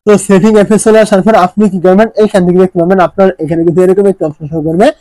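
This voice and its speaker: A man's voice: high voice, fairly even intonation, very fast, mellow, dry recording, poor audio. A man narrating a step-by-step phone tutorial, instructing repetitively and often posing questions before answering them. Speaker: tech tutorial presenter.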